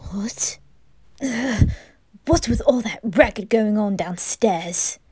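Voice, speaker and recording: A woman's voice: medium pitch, slow pace, darkish tone, slightly rough, no room sound, pitched below the performer's own voice. Sullen young lord played by a woman, curt, lovesick, irritable, anime drama, dry sound. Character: brooding, lovesick, irritable young master of a manor. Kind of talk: anime fan audio drama.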